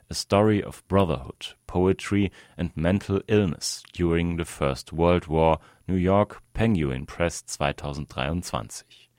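A man's voice: deep voice, dry recording, clean studio sound. A man reading a written article aloud as scripted narration. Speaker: reader of a translated magazine article.

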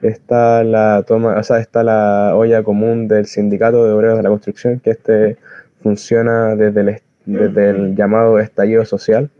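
Chilean male community volunteer, halting informal delivery, little melodic movement, dry and slightly muffled lo-fi audio. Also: lowish voice, monotone, no echo. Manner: halting, searching for words, earnest. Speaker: community soup kitchen volunteer. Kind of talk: informal spoken explanation of activism. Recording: dull lo-fi close recording.

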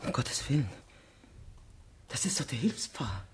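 An actress playing a frightened, guilt-ridden woman in a radio crime drama, halting and repeating herself. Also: a bass voice, sing-song, a little hiss or hum. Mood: shaken.

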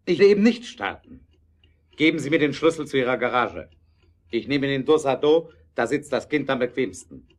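An actor playing a principled, earnest German motorcar inventor in an audio drama, speaking in a measured way. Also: male voice, mid-range voice, expressive, close to an everyday voice. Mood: resolute.

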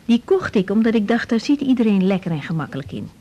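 An actress playing a young Dutch doctor, gentle, attentive and a little tentative, in a radio drama. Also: female voice, mid-range voice, very animated, quick pace, dull audio. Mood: attentive, reassuring.

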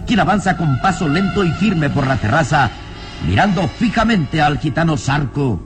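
Man as animated radio serial narrator over music. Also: on the high side, normal speed, close to an everyday voice. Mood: tense, solemn. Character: solemn omniscient narrator of a suspense radio serial.

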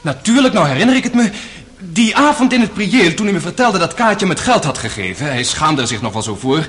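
An actor playing an earnest, agitated young Russian man in a radio play, repeating words as he gets excited. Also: high-pitched, fairly quick. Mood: excited recollection.

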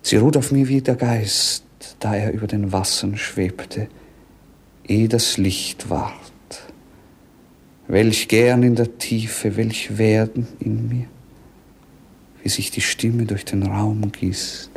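An actor playing a dreamy, world-weary young prince, declaiming poetically and languidly in 1950s radio drama. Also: male voice, lowish voice, steady tone, takes it slowly, creaky, slightly rough, full character voice. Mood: rapt.

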